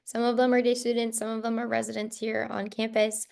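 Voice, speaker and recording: Creaky-voiced woman moderating. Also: on the high side, level tone, a little fast, a little mellow. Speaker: school staff moderator. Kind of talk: informal hosting of a virtual information session. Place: video call.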